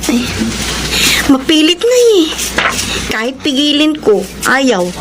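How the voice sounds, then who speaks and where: A woman's voice: high voice, animated, slow, background noise. A woman acting in a Filipino radio drama as an earnest young daughter, pleading politely but firmly. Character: earnest young daughter defending her boyfriend, respectful but firm.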